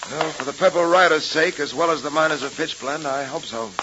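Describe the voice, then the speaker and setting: A man's voice: a little high, fairly even intonation, a little fast, lightly acted. An American man playing a resolute, principled police detective in a 1930s radio serial, with earnest, formal phrasing. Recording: muffled, hissing old radio recording.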